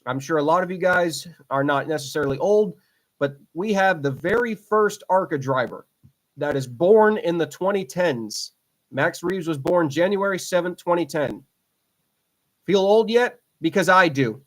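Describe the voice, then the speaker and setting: A man's voice: a little high, lively intonation, moderate pace, low-quality recording. A man in his twenties hosting a racing podcast solo, talking casually, rambling and repeating himself with lots of fillers. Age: 20s. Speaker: podcast host.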